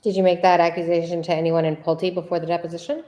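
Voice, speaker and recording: A woman acts out a female attorney in a deposition, with a slightly low voice. She presses insistently, repeating her questions deliberately and needling the witness as she reads from a transcript. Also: little pitch movement, dark tone, natural voice. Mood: probing. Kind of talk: read-aloud deposition transcript.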